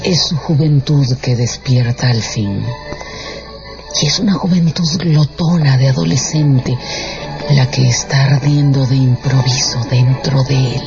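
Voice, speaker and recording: A man narrating a literary radio drama in a measured, theatrical storytelling style. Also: medium pitch, gravelly. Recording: muffled radio production with loud music.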